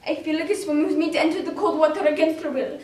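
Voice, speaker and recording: Woman playing a young girl diarist, high voice, anguished, theatrical monologue, roomy echo, distant mic. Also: a little mellow, lightly acted. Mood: sad, pleading. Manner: emotive, deliberate, theatrical delivery. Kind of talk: dramatic monologue performance. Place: indoor room, recorded from a distance.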